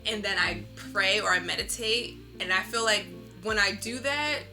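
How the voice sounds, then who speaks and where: A woman's voice: mid-range voice, normal speed. A woman speaking informally and reflectively in a casual interview as a visual artist, often saying "like". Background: instrumental lo-fi music.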